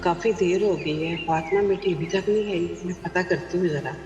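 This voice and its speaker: A woman's voice: on the low side, normal speed, lo-fi sound, music in the background, lightly acted. A woman playing a caring, anxious village mother in an audio drama.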